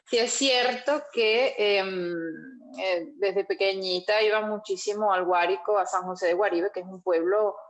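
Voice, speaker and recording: A young woman from Caracas with a Venezuelan accent, chatting casually as an interview guest and filling her pauses often. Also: mid-range voice, fairly even intonation, rough recording. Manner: chatty, many fillers like "este" and "bueno". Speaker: guest musician.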